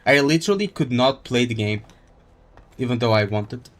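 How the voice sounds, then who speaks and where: A man live streaming a game, chatting casually in a halting, rambling way as he thinks aloud. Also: medium pitch, expressive. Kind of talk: casual gaming stream chat.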